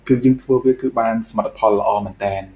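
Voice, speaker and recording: A man's voice: lowish voice, fairly even intonation, rapid-fire, dark tone. A man from Cambodia answering an interview question as a pageant contestant. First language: Khmer.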